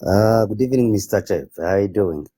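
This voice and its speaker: A man calling in to a live stream, talking casually and haltingly as he searches for words. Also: lowish voice, expressive, warm tone, breathy edge. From Africa. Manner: halting, searching for words, non-fluent phrasing. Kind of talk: casual, unscripted political talk.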